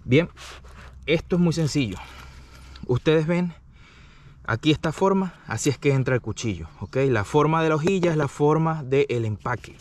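A man giving a casual, explanatory hands-on product review, often pausing to check the listener follows. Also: fairly high pitch, animated. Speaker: product reviewer, channel host. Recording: distant mic, faint background noise.

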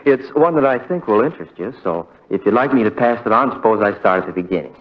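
An actor playing a haunted, heartbroken young man, telling his story with halting, conversational pauses in 1940s radio drama style. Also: on the low side, expressive, close to an everyday voice. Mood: wistful, rueful. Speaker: narrator character. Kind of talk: 1940s radio drama first-person narration. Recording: muffled vintage radio broadcast with music.

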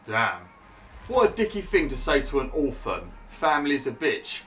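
A man's voice: medium pitch, very animated, moderate pace, fairly bright tone, soft background music. A young man commentating over gameplay, chatty and grumbling, saying he is losing his voice. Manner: chatty, grumbling, laughs at himself. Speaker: gaming YouTuber.

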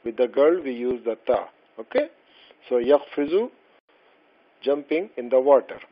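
A man teaching an Arabic textbook lesson, who is not a native speaker of Arabic, explaining slowly and patiently with frequent fillers and restarts. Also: medium pitch, expressive. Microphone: voice-over over slides. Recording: dull lo-fi voice-over.

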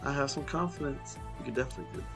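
A man's voice: mid-range voice, very fast. A young man doing casual, slangy gaming commentary and reading game dialogue aloud. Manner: informal, slangy. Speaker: gaming streamer. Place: indoor room at home, streaming on webcam. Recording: game music behind the voice. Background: video game music.

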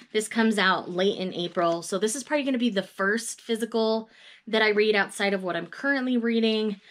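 A woman's voice: medium pitch, a bit bright. A cheerful woman, chatting casually to her camera at a desk. She talks in an upbeat, easygoing way, with little laughs between titles, recorded in a small room lined with bookshelves. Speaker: book vlogger. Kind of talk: casual reading-plan chat. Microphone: webcam or camera at desk distance.

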